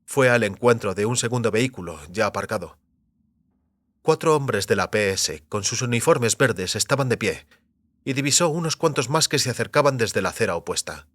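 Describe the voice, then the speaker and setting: A man's voice: mid-range voice, lively intonation, normal speed, a bit bright, no room sound. A man reading scripted literary narration as the narrator of an audio fiction story.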